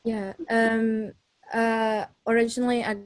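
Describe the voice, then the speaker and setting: A woman student from Zimbabwe speaking on a panel, soft-spoken and halting with many pauses. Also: on the high side, level tone. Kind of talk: panel discussion.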